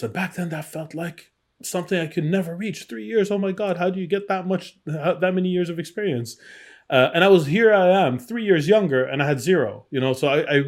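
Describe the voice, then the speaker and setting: A middle-aged man is giving a personal interview in a conversational way. His voice sits at an ordinary male pitch, and he speaks candidly, retelling experiences and voicing the people in them. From born in Iraq. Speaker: interview guest, game designer.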